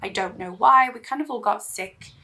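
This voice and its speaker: Woman, hoarse and gravelly from recent voice loss, casual rambling beauty vlogger. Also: mid-range voice, moderate pace, bright, forceful tone. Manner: rambling, self-deprecating, many fillers and restarts. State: recovering from lost voice, hoarse. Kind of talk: casual get-ready-with-me chat.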